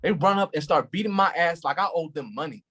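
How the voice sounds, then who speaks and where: An actor as a calm, husky-voiced officer. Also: male voice, a little high. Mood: reflective. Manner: calm, measured, gently reflective storytelling. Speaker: actor in a staged play reading. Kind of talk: staged play reading.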